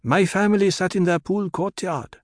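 Desert tribeswoman for audiobook, male narrator: grave, ceremonial, incantatory, pitched up. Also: normal speed, no echo, clean studio sound, close to an everyday voice. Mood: wistful, ceremonial.

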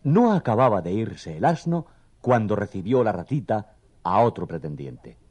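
Lively-pitched low-voiced male storyteller. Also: normal speed. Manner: expressive, theatrical storytelling. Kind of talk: scripted children's story narration.

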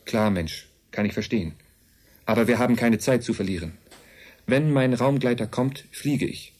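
An actor in a German radio drama playing a calm, earnest envoy of an advanced race, speaking in a measured, matter-of-fact way. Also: male voice, medium pitch, lightly acted. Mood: firm, sober.

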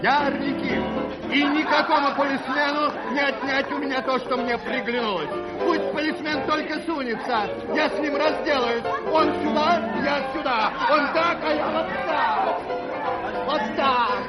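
A boisterous radio-play Harlequin with an extremely high, exclamatory voice. He taunts and swaggers like a theatrical clown over loud music and a noisy crowd in a hall. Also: measured pace. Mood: bragging.

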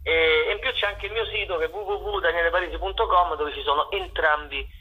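A man's voice: a little high, quick pace, husky, phone-quality sound. A man in a casual radio interview as a guest, playful and hesitant, circling back over his own phrases. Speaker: actor and playwright, interview guest.

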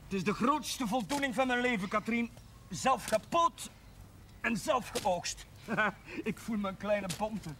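An actor playing a genial, slightly naive village priest in a television drama, speaking in a wry, conversational way. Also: male voice, high voice, animated, takes it slowly, music in the background. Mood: proud, cheerful. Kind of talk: naturalistic television drama acting. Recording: faintly noisy 1970s television soundtrack.

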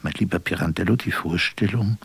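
An actor playing a brooding, defiant poet in a radio play, halting and dramatic, with a gravelly, breathy whisper. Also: male voice, deep voice, slight background noise. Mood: defiant, pleading. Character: male poet Fernando Pessoa, brooding, theatrical, defiant radio caller.

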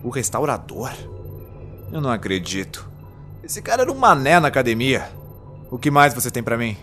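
A man's voice: medium pitch, sing-song, normal speed, sharp tone, faint music behind. A man playing an indignant, emphatic Time Lord in an audio drama, with a Brazilian accent. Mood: dismissive. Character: indignant male Time Lord, renouncing his old title.

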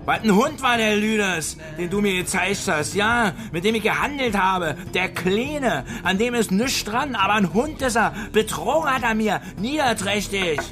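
A man's voice: sits high, animated, normal speed, few pauses, over music. A man playing an embittered, hard-drinking Berlin working man in a radio drama, brooding and blunt, with a Berlin accent. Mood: brooding, resentful. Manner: repetitive, brooding, blunt grumbling.

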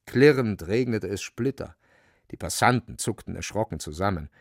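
Gravelly, animated male storyteller. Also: fairly low pitch, normal speed, no room sound, clean studio sound. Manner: expressive, vivid. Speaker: audio drama narrator. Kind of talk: dramatic literary storytelling.

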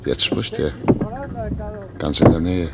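Gravelly deep blind gentleman. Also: takes it slowly, heavy background noise, heavily acted. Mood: anxious, curious. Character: blind, dignified gentleman, insistent and questioning. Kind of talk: German radio play acting. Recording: loud music over the voice.

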